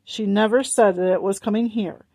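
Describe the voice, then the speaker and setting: A woman's voice: medium pitch, fairly even intonation, normal speed, creaky, mellow, no echo, close to an everyday voice, rough recording. A woman doing a practical, scolding older sister. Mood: protesting.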